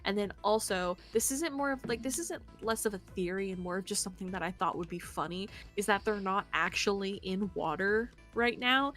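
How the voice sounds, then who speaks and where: A young woman co-hosting a game stream with casual, animated, rambling commentary peppered with "like". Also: mid-range voice, a little fast, hardly any pauses. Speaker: streamer co-hosting a visual novel playthrough. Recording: background music under the voice. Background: background music.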